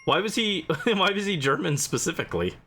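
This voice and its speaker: Male game streamer: bright tone, expressive pitch swings, playful off-the-cuff chat with viewers over background music. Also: fairly quick. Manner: playful, chatty, responding to viewers. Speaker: livestream host. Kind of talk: casual gaming livestream chat with viewers.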